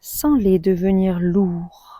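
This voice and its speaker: A woman leads a guided relaxation with a soft, mellow tone. She speaks very slowly and calmly, leaving long pauses between phrases. The recording is close and dry. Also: mid-range voice, no room sound. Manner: calm, soothing, unhurried with long pauses. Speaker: yoga nidra guide.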